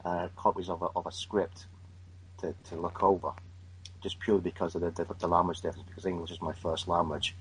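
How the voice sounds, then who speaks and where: Deep, flat-voiced man, emphatic. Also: a little fast, breathy edge, rough recording. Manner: emphatic, opinionated, repeats words when starting. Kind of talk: casual podcast chat.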